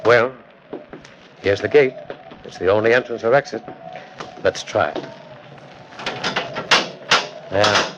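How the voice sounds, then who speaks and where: Urbane gentleman sleuth, curious and assured, 1940s radio acting, dull sound with faint noise. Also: on the low side, slow, pauses often. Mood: determined. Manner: urbane, polished. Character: confident, curious gentleman detective of the macabre. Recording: dull, noisy old radio recording.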